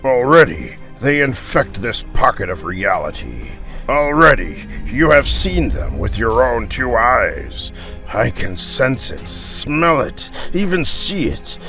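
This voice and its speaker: A man voicing a solemn, ancient ghostly knight leader who speaks portentously with dramatic pauses, in an audio fantasy drama. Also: medium pitch, sing-song, pushed and bright, faint music behind, full character voice. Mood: grave, foreboding.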